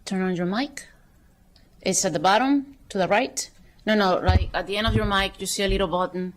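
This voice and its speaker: Lady running a council meeting on a desk mic, brisk, reads items off quick with little jokes. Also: mid-range voice. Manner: brisk procedural reading with light asides. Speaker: council president chairing session. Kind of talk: formal procedural meeting chairing. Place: council chamber.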